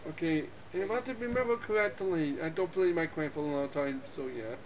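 A young man hosting a multiplayer game session, excitable and quick to laugh, talking over his friends on a voice call. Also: on the high side, low-quality recording. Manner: excitable, laughs often, talks over others. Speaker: gameplay channel host. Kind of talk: casual multiplayer gaming chat.

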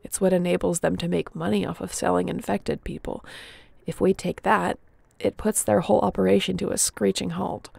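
A woman's voice: lowish voice, flat delivery, moderate pace, creaky, few pauses, warm tone, slightly rough, no echo, heavily acted. A woman playing a resilient young apocalypse survivor in an audio roleplay, speaking intimately and earnestly with a wry edge. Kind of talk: dramatic audio roleplay addressed to the listener.

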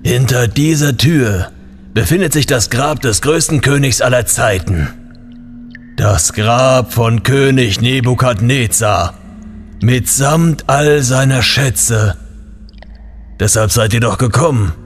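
An ancient guardian of a royal tomb, acted by a man, speaking at a slow, stately pace. The delivery is pompous and archaic, as in a fantasy radio play, with faint music behind it. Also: mid-range voice, close to an everyday voice. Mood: proud, solemn. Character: ancient, grandiose male guardian of a king's tomb. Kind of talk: audio drama acting.

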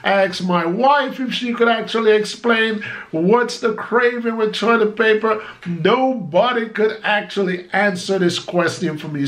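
A Jamaican man in his forties, a vlogger chatting casually to camera from a small home office, animated and gesturing as he talks. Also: sits high. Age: 40s.

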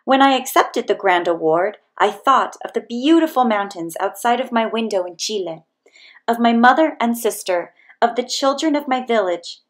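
A woman with a fairly high voice reads a children's story aloud. She delivers the scripted narration deliberately and expressively, in the manner of a storyteller. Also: expressive. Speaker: storybook reader.

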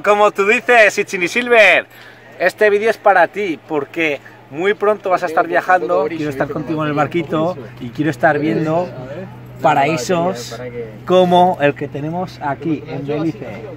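A young man with a Castilian accent giving a slangy, enthusiastic video message outdoors. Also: high voice. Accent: Castilian Spanish. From Spain. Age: young adult. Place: outdoors at open hilltop ruins. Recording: outdoor handheld video, crowd noise.

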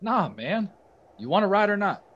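A man playing a brusque, shady late-night driver in a radio drama, husky voiced, sounding muffled. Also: sits high, big pitch swings, normal speed, a bit bright, heavily acted.

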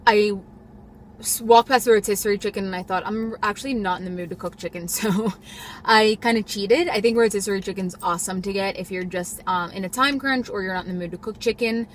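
A woman's voice: medium pitch, fairly bright tone, a little hiss or hum. A young woman vlogging casually from her parked car on a phone camera, rambling and chatty with frequent ums. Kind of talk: casual grocery haul vlog. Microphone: phone camera on the dashboard.

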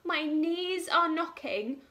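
A jittery scaredy-cat cook, as a woman puts him on, squeaky high and bright, wobbling with fright, drawn out slowly like a storybook read aloud. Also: exaggerated voice. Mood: fearful. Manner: shaky, high and quavering with fear. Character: timid fretful male cook, easily frightened.

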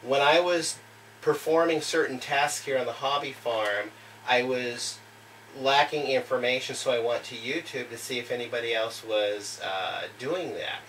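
A man's voice: medium pitch, unhurried, a bit bright, far from the mic, a little hiss or hum, rough recording. A middle-aged man who hosts a homesteading channel, answering interview questions in an earnest, plain manner with frequent "uh" fillers, seated in a home library. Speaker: homesteading YouTube channel host. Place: home library with bookshelves and fireplace.

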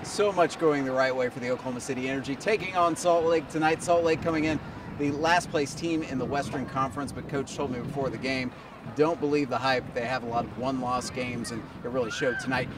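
A man's voice: medium pitch, steady tone, fairly quick. A man in his thirties presenting a sports recap to camera on a handheld microphone outdoors. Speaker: team reporter and presenter. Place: outdoors in an empty stadium at night. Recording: distant-sounding mic, faint outdoor noise.

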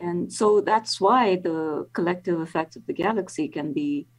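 A woman's voice: lowish voice, normal speed, low-quality recording. A woman in her sixties, a planetary scientist, explaining carefully on a video call with frequent ums and restarts. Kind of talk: explanatory interview answers.

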